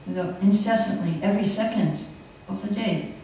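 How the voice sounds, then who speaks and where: Older woman, class speaker, mellow tone, chatty storytelling, roomy hall, heard from a distance. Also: mid-range voice, normal speed, slight background noise. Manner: chatty, anecdotal, laughs often while teaching. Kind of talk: scripture class lecture. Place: hall, indoors. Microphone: podium mic on stand. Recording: echoey hall, recorded from a distance.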